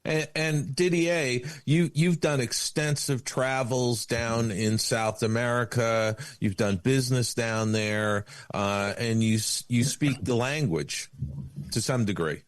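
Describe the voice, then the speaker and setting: A man's voice: mid-range voice, takes it slowly, no echo. A man hosting a podcast, chatting casually and setting up questions for his guests. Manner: conversational, frames questions for guests. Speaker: podcast host. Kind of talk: casual interview chat.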